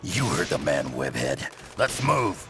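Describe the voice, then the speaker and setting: A man voicing a gruff, surly, sardonic superhero in a video game cutscene, with a very deep, gravelly growl. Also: sing-song, measured pace, heavily acted. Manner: blunt, sardonic, taunting. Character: gruff, surly, short tough-guy superhero.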